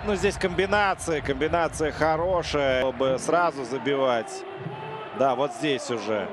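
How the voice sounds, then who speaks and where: A man doing play-by-play commentary on a football match, excitable and quick to raise his voice on chances. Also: mid-range voice. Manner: excitable play-by-play, shouting on goals. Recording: crowd noise behind. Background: stadium crowd.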